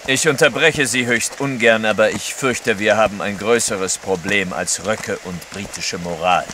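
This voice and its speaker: An actor playing a serious, assured and blunt travelling guide in an audio drama. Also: male voice, fairly low pitch, unhurried, background noise, natural voice. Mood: grave. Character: grave, watchful male guide, reassuring yet blunt. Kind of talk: German radio play acting.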